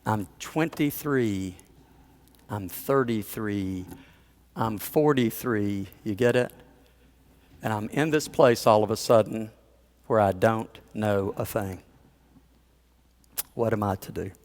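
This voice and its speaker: A man's voice: lowish voice, takes it slowly, pauses often, darkish tone. Man preaching a story-driven sermon. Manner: storytelling, conversational, with asides and chuckles. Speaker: pastor.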